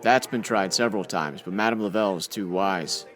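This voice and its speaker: A man's voice: sits low, a little fast, faint music behind, lightly acted. Man playing a dry, hardboiled radio sleuth. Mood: skeptical. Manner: dry, exasperated, hardboiled slang. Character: skeptical, wisecracking crime photographer tough guy. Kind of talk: old-time radio detective drama acting.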